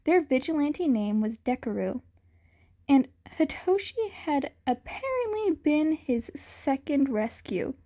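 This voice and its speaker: Female narrator for amateur story reading: high voice, slow, measured pacing. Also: big pitch swings, mellow. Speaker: fan fiction narrator.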